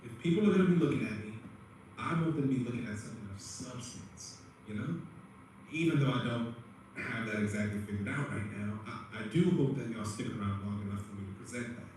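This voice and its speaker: A man's voice: medium pitch, fairly quick, darkish tone, lightly acted. Echoey, distant young male livestreamer, played by an actor. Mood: earnest, reflective. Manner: casual, conversational, slangy. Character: young male performance artist livestreaming, earnest, easily exasperated. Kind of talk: audio drama acting and narration. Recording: very echoey, distant, faint noise.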